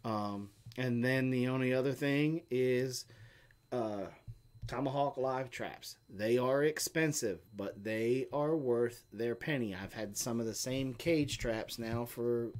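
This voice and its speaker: A man's voice: medium pitch, slow pace, a little mellow. A middle-aged man co-hosting a homestead live stream, chatting and answering questions in a rambling, explanatory way with lots of fillers. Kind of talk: casual chat and Q&A.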